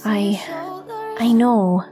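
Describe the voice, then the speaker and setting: A woman voicing an earnest, polite young female android soldier in an animated drama, with formal, sometimes hesitant phrasing. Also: mid-range voice, very slow, over music. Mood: anxious, grieving. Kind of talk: animated-series voice acting.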